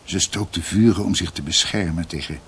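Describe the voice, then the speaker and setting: A man playing a reflective doctor recounting family history in a radio drama, with hesitations and dramatic pauses. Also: sits low, fairly bright tone, slight background noise. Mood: reflective, vivid.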